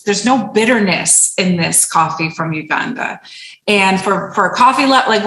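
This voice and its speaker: A woman's voice: mid-range voice, sharp tone, reverberant, slight background noise, rough recording. A woman hosting a brand interview, bubbly and enthusiastic, full of praise for her guest. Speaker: host. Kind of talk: upbeat video interview.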